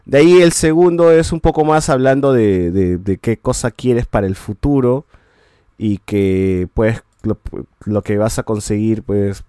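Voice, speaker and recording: A man's voice: lowish voice, unhurried, warm tone, smooth, no room sound, clean studio sound. A man, hosting a podcast and reviewing shows in casual chat, rambling with many restarts and a habit of ending sentences with a questioning tag.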